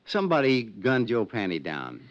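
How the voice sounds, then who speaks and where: Anxious insurance man, expressive, lowish voice. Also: moderate pace. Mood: worried. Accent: American. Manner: earnest, fretful. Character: anxious, friendly male insurance company man. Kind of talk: 1950s radio drama acting. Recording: muffled 1950s radio recording.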